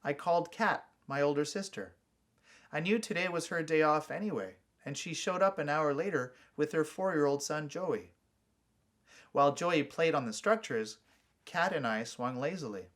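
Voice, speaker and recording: A man's voice: fairly high pitch, normal speed, fairly bright tone. A man reading his own short story aloud as a scripted first-person narration.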